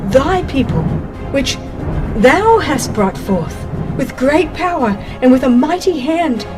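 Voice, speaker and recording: A woman's voice: high voice, very animated, slow pace, pitched above the performer's own voice, exaggerated voice. A woman voicing a humble, earnest male leader pleading solemnly in a dramatized scripture audiobook. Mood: urgent pleading. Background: background music.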